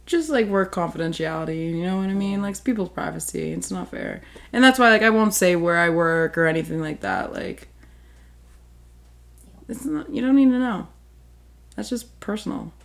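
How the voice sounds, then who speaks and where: A woman talking in a loose, rambling way, full of fillers and cheerful swearing. Her pitch leaps around as she chats and keeps breaking into giggles. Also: big pitch swings, normal speed, gravelly. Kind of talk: casual chat.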